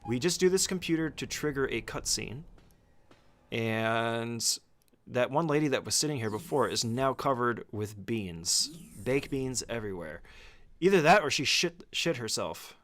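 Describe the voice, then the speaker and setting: A man's voice: mid-range voice, animated, unhurried, fairly bright tone. A man doing casual, conversational speedrun tutorial commentary, explaining each step in an informal way.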